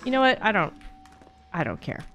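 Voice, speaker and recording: A woman's voice: mid-range voice, sing-song, brisk, fairly bright tone, dull audio, faint music behind. A young adult woman giving casual, blunt, sweary live-stream commentary while speedrunning a game. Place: small indoor streaming room at home.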